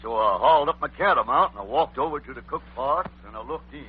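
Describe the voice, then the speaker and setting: A bragging old west cowboy, husky, super animated, folksy western talk, old radio comedy. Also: male voice, medium pitch, fast talker. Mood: boastful. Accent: American Western. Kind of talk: 1940s radio comedy acting. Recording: tinny, band-limited old radio recording.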